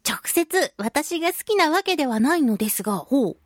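A woman hosting a chatty radio show on her own, talking in a playful, expressive, giggly way as she chats and reads listener mail. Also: sits high, moderate pace, no room sound, clean studio sound. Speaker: radio show host, voice actress.

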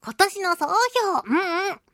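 A woman hosting a solo radio talk show, chatting and reading out listener mail with animated, playful reactions. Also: very high-pitched, normal speed, creaky, dry recording, studio quality. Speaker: radio show host, voice actress.

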